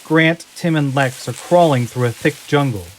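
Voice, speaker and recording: Higher-pitched male audio drama narrator. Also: normal speed, slight background noise.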